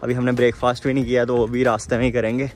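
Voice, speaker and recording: A man's voice: medium pitch, flat delivery, very fast. A man chatting informally to viewers as a travel vlogger, calling them bro. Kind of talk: casual vlog chat to camera.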